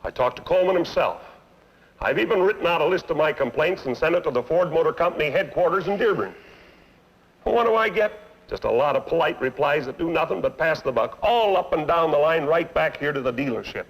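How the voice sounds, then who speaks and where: A fed-up, accusing car owner. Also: male voice, a little high, animated, fairly quick, breathy edge, low-quality recording. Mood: angry. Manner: blunt, accusing, sarcastic. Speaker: dissatisfied customer. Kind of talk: 1950s training film acting.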